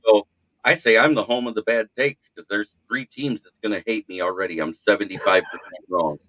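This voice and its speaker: Man, podcast host, bright forceful radio voice, lowish pitch, dry dull audio. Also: fairly quick, dry recording. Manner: self-deprecating, animated storyteller with a practiced radio voice. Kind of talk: casual sports chat. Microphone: podcast mic.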